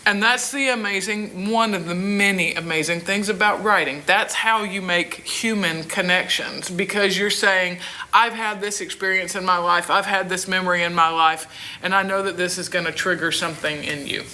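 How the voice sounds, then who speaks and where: A woman's voice: on the low side, moderate pace, sharp tone. A woman teaching a class informally, stressing key words emphatically. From Alabama, United States. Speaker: writing teacher in a prison. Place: prison classroom. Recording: location recording with clear background noise.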